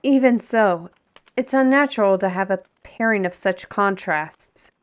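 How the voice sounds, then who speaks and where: A woman's voice: medium pitch, a little slow, lightly acted. A woman playing a defiant, sardonic young artist in a fantasy audio drama, with a pointed, sarcastic delivery. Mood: wry.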